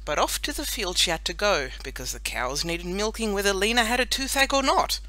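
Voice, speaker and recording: A woman narrating a children's audiobook in an expressive, deliberate storytelling manner. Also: medium pitch, no room sound. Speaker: audiobook reader.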